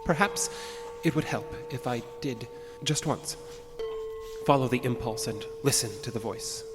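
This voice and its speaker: An actor playing an agitated, arrogant man with violent urges, narrating his journal in a deliberate, formal way for an audio drama. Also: medium pitch, close to an everyday voice. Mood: brooding, tempted. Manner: pompous, deliberate, brooding, formal phrasing. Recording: long reverb, music underneath.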